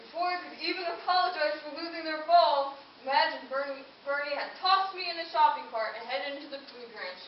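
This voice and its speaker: A woman's voice: high-pitched, a little fast, few pauses, natural voice. A woman voicing a quirky, eager pet dog who chattily tells his own story in a children's puppet show. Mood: grumbling. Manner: chatty, confiding, addresses the listener. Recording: roomy, distant, dull audio with hiss.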